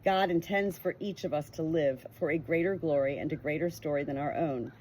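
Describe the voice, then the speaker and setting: A woman's voice: low-pitched, a little fast, darkish tone, slight background noise, poor audio. A woman reading a book aloud in an earnest, emphatic way, now and then breaking off into chatty personal asides. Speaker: audiobook reader.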